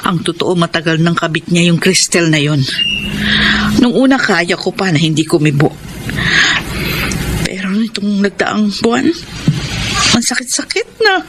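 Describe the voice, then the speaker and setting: A woman's voice: fairly low pitch, very animated, normal speed, pauses often, heavy background noise. An actress playing a betrayed, long-suffering wife and mother in a radio drama, with an earnest, emotive, pleading delivery. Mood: hurt, confiding. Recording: dramatic music bed behind the voice.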